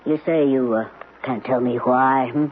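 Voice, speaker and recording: A woman playing a stern, suspicious woman in a radio drama, husky-voiced and relentlessly pressing for answers. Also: a bass voice, normal speed, slight background noise. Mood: probing, accusing. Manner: insistent, interrogating. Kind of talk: 1970s radio drama acting. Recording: tinny old radio broadcast.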